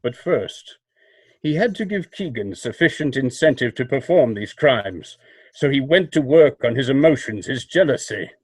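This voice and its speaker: Guy doing a commanding vigilante from 40s radio, formal and measured, mid pitch, very dry sound. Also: expressive, darkish tone, husky, no echo. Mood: grave revelation. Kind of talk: 1940s radio drama acting.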